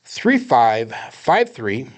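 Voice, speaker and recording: A man calmly narrating his own craps dice practice on a livestream, walking viewers through each bet and roll. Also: mid-range voice, extremely slow. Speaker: craps practice live streamer. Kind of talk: casual play-by-play livestream narration.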